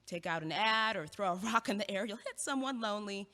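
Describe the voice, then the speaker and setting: A woman's voice: medium pitch, brisk. A young actress playing a blunt, impatient student who teases and bosses her neighbor around in an audio play. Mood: bossy. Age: young adult. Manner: teasing, bossy, lively. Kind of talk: stage audio play acting. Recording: long hall reverb.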